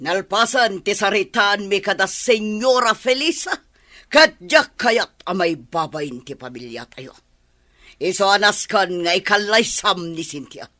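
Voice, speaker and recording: A woman's voice: medium pitch, expressive, sharp tone. A woman playing an imperious, scheming rich mother in a radio drama, with a Filipino accent and a haughty, commanding manner. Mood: cold, commanding. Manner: haughty, commanding, drawn-out delivery. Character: imperious, scheming wealthy middle-aged mother, cold and domineering.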